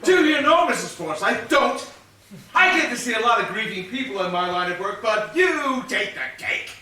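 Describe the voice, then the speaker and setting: A man's voice: high-pitched, very animated, normal speed, bright, forceful tone, exaggerated voice. An actor on stage playing a veteran police inspector, stern, formal and exasperated as he interrogates a suspect. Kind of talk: stage comedy acting. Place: stage of a theatre. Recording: echoey theatre, distant mic.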